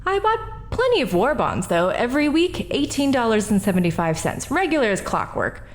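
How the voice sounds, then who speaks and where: An actress playing a defensive, self-righteous middle-aged woman testifying at an inquest, talking in an indignant, sharp-tongued way. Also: a little high, sing-song, moderate pace. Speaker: witness at an inquest. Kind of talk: 1940s radio acting.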